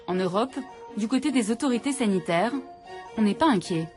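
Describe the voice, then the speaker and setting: A woman's voice: medium pitch, expressive, normal speed, music in the background. A woman from France, a journalist, reading scripted first-person narration for a documentary.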